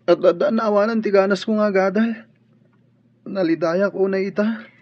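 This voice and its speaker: An actor playing a young grieving student who sounds pleading and downcast in a radio drama. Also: male voice, high-pitched, fairly even intonation, normal speed, no echo. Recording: dull radio audio under music.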